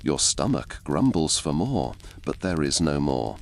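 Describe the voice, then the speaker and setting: Slow, deep-voiced male storyteller. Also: sing-song, creaky, slight background noise. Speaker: storyteller, narrator. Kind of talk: scripted second-person ASMR storytime narration.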